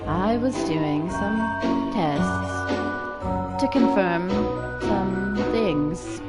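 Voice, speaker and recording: A woman's voice: medium pitch, very slow, loud music behind the voice. A woman voicing a cold, disdainful, secretive male school doctor with a dry, condescending manner in a visual novel. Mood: cold, displeased.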